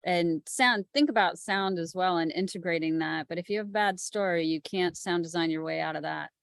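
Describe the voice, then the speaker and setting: A woman's voice: on the low side, normal speed, gravelly, no echo, clean studio sound. A woman speaking in a conversational, plain-spoken way as a producer on an informal panel. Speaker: executive producer, panel guest.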